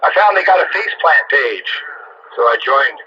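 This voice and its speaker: Bright-voiced man on a hissy CB radio. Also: medium pitch, animated, moderate pace, dull audio. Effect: two-way radio. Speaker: amateur and CB radio operator, uploader of the show. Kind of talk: casual on-air radio chat. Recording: noisy band-limited CB radio transmission.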